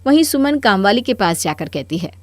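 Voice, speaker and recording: A woman's voice: mid-range voice, very animated, a little fast, darkish tone, no room sound. A woman narrator reading a scripted Hindi cartoon moral story.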